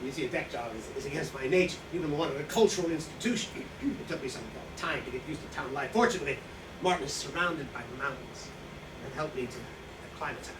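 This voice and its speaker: A man in a staged reading playing a boastful, defensive, hard-drinking old writer, rambling and halting as he justifies himself. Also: on the high side, close to an everyday voice. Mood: wry reminiscing. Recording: distant echoey room, noisy draft recording.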